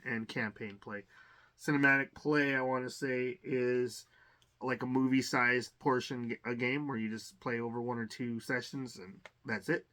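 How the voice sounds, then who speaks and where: A man's voice: mid-range voice, bright, forceful tone. A man hosting a casual unboxing video, thinking aloud with lots of fillers and restarts, enthusiastic about each item he pulls out. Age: adult. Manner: rambling, halting, many fillers and restarts; enthusiastic about what he finds. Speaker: unboxing channel host.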